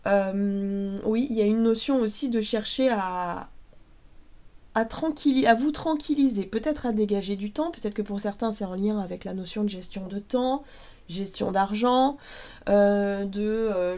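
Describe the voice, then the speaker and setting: A woman's voice: medium pitch, mellow. Chatty woman with a cold. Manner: chatty, hesitant with many fillers. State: has a cold, voice cracking. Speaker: tarot reader. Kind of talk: casual tarot card reading.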